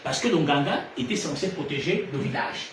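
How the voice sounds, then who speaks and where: A man preaching a sermon in an animated way, rising to loud emphatic peaks and then dropping low. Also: normal speed. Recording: echoey room from far off, noisy ambience.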